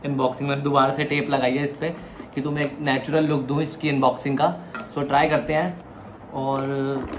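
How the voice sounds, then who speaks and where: A young man from India vlogging casually at home, chatting excitedly as he unboxes a camera and explains each step. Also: mid-range voice, background noise. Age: young adult. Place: room at home, indoors.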